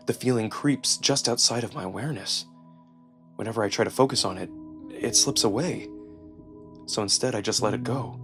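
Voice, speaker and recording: A man reading a visual novel in the first person as a theatrical, expressive narrator. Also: fairly low pitch. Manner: theatrical, expressive, acts out emotion. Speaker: narrator and performer. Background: music.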